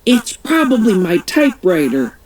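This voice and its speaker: A woman's voice: mid-range voice, very animated, moderate pace, darkish tone, pitched above the performer's own voice, close to an everyday voice. A storybook reader voicing a plucky young girl reporter in a chirpy, matter-of-fact tone.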